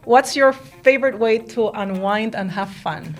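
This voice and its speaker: A woman from Peru hosts a relaxed interview with a mid-range voice. She affirms her guest often and tags her remarks with questions. Faint music plays in the background. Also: mid-range voice. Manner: chatty, often tags "right?", quick affirmations. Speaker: interviewer host. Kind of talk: casual interview conversation.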